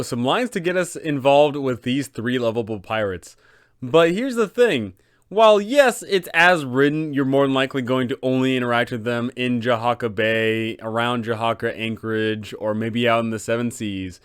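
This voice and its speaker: Male host for tabletop game guide: clear, resonant, medium pitch, dramatic and enthusiastic, close dry recording. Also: moderate pace, no echo. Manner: dramatic, emphatic storytelling delivery. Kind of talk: enthusiastic scripted explainer for game masters.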